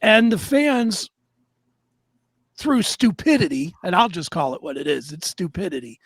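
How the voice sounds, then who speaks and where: A man's voice: sits high, sing-song, a little slow, dry recording. A man co-hosting a talk show, giving opinions in a blunt, emphatic and salty way.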